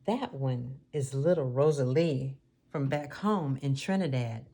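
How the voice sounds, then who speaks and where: Woman playing Nana, an encouraging old grandmother, low-voiced. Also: a little slow, dark tone, exaggerated voice. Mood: kindly.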